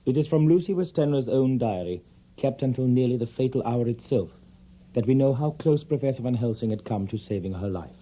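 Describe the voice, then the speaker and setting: An actor playing a measured, formal male asylum doctor in an audio drama. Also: mid-range voice, expressive, dark tone, lightly acted. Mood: regretful. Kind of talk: audio drama acting, narration. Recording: dull, muffled audio drama recording.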